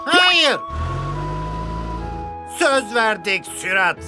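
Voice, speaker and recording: Woman voicing a high-pitched, earnest child car. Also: big pitch swings, very slow, over music, full character voice. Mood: firm, careful. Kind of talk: cartoon dub voice acting.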